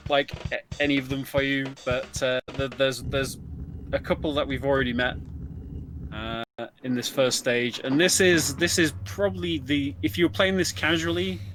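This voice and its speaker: A man giving casual, explanatory co-commentary on a video game in a halting, conversational way. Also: medium pitch, steady tone, fairly bright tone, slight background noise. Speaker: co-commentator at a speedrun event. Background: video game music.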